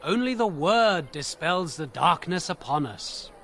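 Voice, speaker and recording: A man's voice: a little high, animated, measured pace, bright, forceful tone, slight background noise, full character voice. A man playing a pious, solemn chanter in a video game, reciting scripture in a formal, declamatory way.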